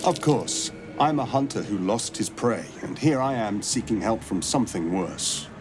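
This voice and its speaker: A man playing a courteous, grave monster hunter in a video game, speaking in measured, formal, storytelling phrases. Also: fairly low pitch, animated, music in the background, lightly acted. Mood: wry, measured. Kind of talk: dramatic video game acting.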